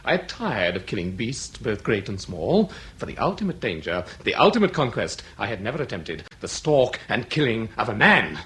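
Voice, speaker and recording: A man's voice: fairly low pitch, sing-song, normal speed, hardly any pauses, a bit bright. A man acting a proud, cold-blooded aristocratic hunter villain in a period radio melodrama, declaiming in florid formal phrases. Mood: grandiose confession. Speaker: actor.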